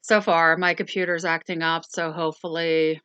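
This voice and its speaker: A woman's voice: deep voice, level tone, moderate pace, sharp tone, clear, resonant tone, no echo, dull audio. Rambling woman reviewing dolls. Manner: rambling, lots of ums and self-corrections. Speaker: toy review channel host. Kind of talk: casual chatty doll review.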